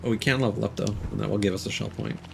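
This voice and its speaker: A man's voice: fairly low pitch, fairly even intonation, rapid-fire, vocal fry. A man streaming a game, rambling through live commentary and correcting himself often, with casual swearing. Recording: noisy background.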